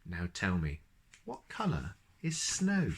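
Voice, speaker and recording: A man reading children's storybooks aloud as host, expressive and speaking directly to young listeners. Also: deep voice, takes it slowly.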